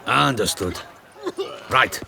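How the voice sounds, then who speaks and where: Curt, low-voiced guardsman. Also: expressive, takes it slowly, sharp tone, heavily acted. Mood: authoritative. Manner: official, curt. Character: brisk, authoritative city guardsman. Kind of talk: video game voice acting. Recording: clear ambient noise behind.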